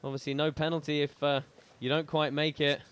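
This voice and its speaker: A male play-by-play commentator calling a live grappling match in measured phrases. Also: a little high, level tone, fairly bright tone, clear, resonant tone. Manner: measured, building in volume toward the finish.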